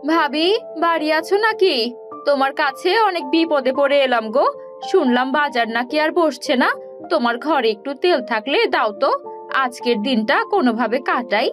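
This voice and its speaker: A woman voicing a feisty, sharp-tongued village wife in a cartoon, exasperated and quick to scold. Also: sits high, lively intonation, music in the background. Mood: pleading. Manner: scolding, retorting. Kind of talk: animated cartoon dialogue.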